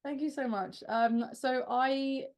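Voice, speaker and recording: A woman's voice: high-pitched, takes it slowly, darkish tone. Chatty young woman singer, laughing often. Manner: chatty, self-deprecating, with laughs and restarts. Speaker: rock band frontwoman and singer. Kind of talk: casual interview answers.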